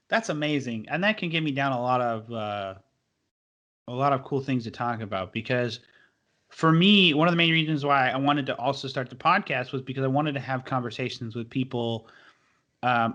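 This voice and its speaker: A young man hosts his podcast in a loose, enthusiastic ramble full of fillers. His voice is bright and forward. His pitch sits in the middle range. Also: dull audio. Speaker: podcast host. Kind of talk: casual podcast chat.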